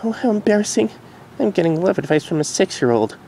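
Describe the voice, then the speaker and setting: A man's voice: high-pitched, sing-song, fast, gravelly, warm tone, slight background noise, pitched above the performer's own voice, heavily acted. A man voicing a shy, sweet, grown-up pegasus mare in an amateur cartoon fan-fiction reading, hesitant and stammering. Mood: sheepish.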